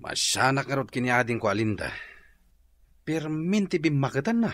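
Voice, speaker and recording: An actor playing a wry, sympathetic older brother in an Ilocano comedy radio drama, with an Ilocano accent. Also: male voice, mid-range voice, very animated, moderate pace, fairly bright tone, dry recording, studio quality. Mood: resigned, wry.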